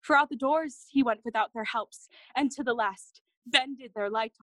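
A woman's voice: fairly high pitch, moderate pace, sharp tone, no room sound. An actress playing a frightened, obedient young noblewoman, speaking dramatic verse earnestly in a Shakespearean audio play. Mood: shaken, earnest.

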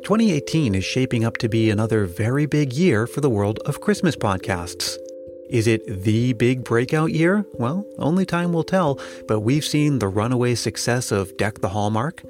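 A man's voice: mid-range voice, expressive, normal speed, over music. A man hosting a podcast, giving scripted introductions and interview questions.

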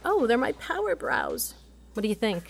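A woman playing a breezy, self-satisfied mother of a teenager in an audio drama, with chirpy, exaggerated brightness. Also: a little high, huge pitch swings, normal speed, vocal fry, full character voice. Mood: chirpy, pleased.

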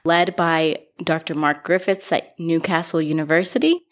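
A woman researcher introducing her work in a conversational way as a podcast guest. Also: lowish voice. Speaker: researcher, guest discussion leader.

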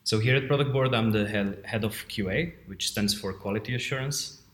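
Low-voiced man in an echoey room. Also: brisk. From Portugal. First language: Portuguese. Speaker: guest, head of quality assurance. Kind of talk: conversational interview answers. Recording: roomy, echoey sound.

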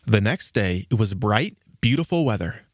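A man reading scripted storybook narration as the narrator, in an expressive storytelling manner. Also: lowish voice, normal speed, dry recording, studio quality.

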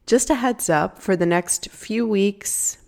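A woman's voice: medium pitch, takes it slowly, gravelly, a little mellow. A young woman hosting a solo podcast, congested from a cold, talking in a chatty, candid way with fillers. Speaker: podcast host. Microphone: home podcast microphone.

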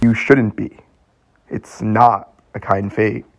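A man's voice: on the low side, level tone, slow, gravelly. A man in a radio play acting as a hesitant, earnest and bewildered man of twenty-six.

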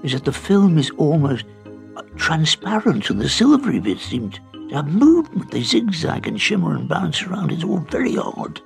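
A man's voice: on the high side, very animated, moderate pace, vocal fry, soft background music, pitched above the performer's own voice, heavily acted. A man doing a shy, nerdy teenage girl. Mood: excited. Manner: hesitant, stammering, eager. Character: shy, clever teenage schoolgirl. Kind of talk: audiobook character reading.

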